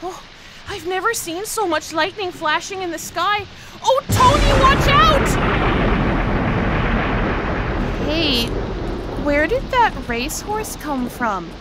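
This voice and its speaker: A woman's voice: extremely high pitch, very animated, takes it slowly, pauses often, heavily acted. A woman playing a breezy, argumentative dancer sister who exclaims dramatically in a comic sci-fi audio play. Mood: alarmed. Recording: clear background noise.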